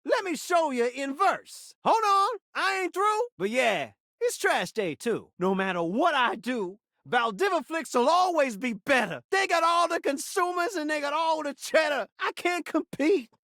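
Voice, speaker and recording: A man's voice: very high voice, expressive, unhurried, hardly any pauses, pushed and bright, no echo, studio quality, exaggerated voice. A man voicing a video game talking television, a flamboyant showman who speaks in theatrical rhymes with a self-pitying, dramatic streak. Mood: despairing rant. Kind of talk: video game character voice acting.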